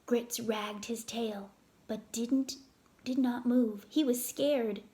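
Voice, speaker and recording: A woman narrating a children's story aloud in a deliberate, expressive way. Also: on the high side.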